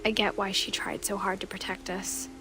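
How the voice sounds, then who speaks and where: An actress plays a young woman looking back on her family in a story game. Her mid-range voice is quiet, introspective and understated. Music plays clearly underneath her reflective narration. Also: medium pitch, level tone, moderate pace, close to an everyday voice, low-quality recording. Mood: reflective, subdued. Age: young adult. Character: pregnant young woman revisiting her family's old house, wistful.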